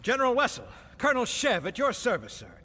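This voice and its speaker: A corrupt, blustering colonel with a high, sharp voice that swings up and down. He is irritable and exasperated, in an audio drama with long reverb. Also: wildly swooping pitch, fast, heavily acted. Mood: nervous fawning. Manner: exasperated, bullying, fawning to superiors. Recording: long hall-like reverb, music behind.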